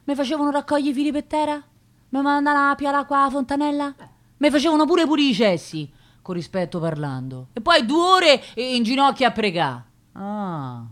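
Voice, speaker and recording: A working-class woman from the streets of Rome, with a strong Roman accent and a somewhat high voice. She is chatty and irreverent, telling her stories in loose colloquial speech, in naturalistic radio drama style. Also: a little fast. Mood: resentful. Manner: colloquial, animated anecdote telling.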